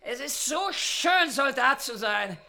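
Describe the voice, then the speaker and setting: Casting: proud, erratic young intellectual, radio drama. High-pitched, very sharp-edged voice, declaiming pompously. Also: male voice, expressive, slow, creaky, full character voice. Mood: lofty, ironic. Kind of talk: theatrical radio drama acting.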